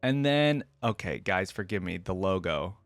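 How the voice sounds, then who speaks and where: Man, low voice, dry close sound, informal video host, thinking aloud, casual reaction commentary. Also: lively intonation, moderate pace, no room sound, soft background music.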